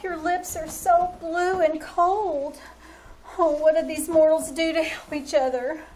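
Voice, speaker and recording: High-voiced lovesick young mermaid played by a woman. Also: steady tone, unhurried, darkish tone. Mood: tender, anxious. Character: lovesick young mermaid girl, tender, longing, sorrowful. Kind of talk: old-time radio drama acting. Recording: noisy live theater stage recording.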